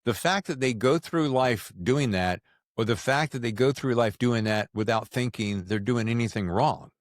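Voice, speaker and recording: A man's voice: medium pitch, moderate pace, dry recording, studio quality. A man hosting a podcast, chatting casually and teasingly as he interviews a guest. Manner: jokey, teasing. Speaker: podcast host. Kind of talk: casual conversational book interview.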